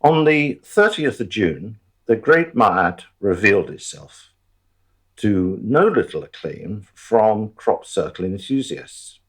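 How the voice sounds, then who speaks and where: A man plays a wry storyteller narrating a radio drama. His voice is low and husky, and he takes his time. The intonation rises and falls widely while the asides stay deadpan. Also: slow, exaggerated voice. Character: wry male storyteller looking back on events.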